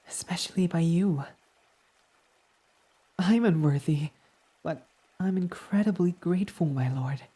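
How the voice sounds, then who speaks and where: A man voicing a devoted, submissive fox boy servant in an intimate ASMR roleplay, reverent, hesitant and breathy. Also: high voice, exaggerated voice. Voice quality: soft, breathy. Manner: reverent, self-deprecating, hesitant, breathy half-whispers.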